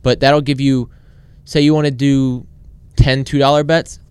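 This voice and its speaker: A man's voice: medium pitch, level tone, unhurried, darkish tone, clean tone, no echo. A man co-hosting a casual sports podcast, with a dry, teasing way of talking. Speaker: podcast co-host.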